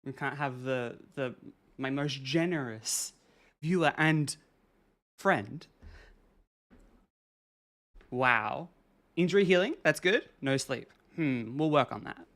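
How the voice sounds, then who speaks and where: A game streamer talking casually through a live stream, chatting with viewers and reading chat aloud in a soft, soothing way. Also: mid-range voice, takes it slowly, pauses often. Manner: chatty, reads and answers chat.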